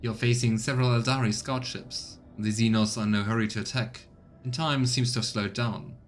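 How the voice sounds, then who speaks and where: A man's voice: medium pitch, fairly even intonation, a little fast, close to an everyday voice. A man reading scripted narration aloud as the solemn narrator of a grim space game.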